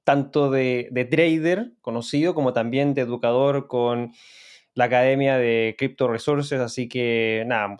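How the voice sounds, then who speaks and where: A man's voice: medium pitch, darkish tone, smooth. A man hosting a podcast, welcoming a guest and asking questions in an upbeat, emphatic way. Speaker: podcast host. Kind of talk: read sponsor ad and podcast hosting.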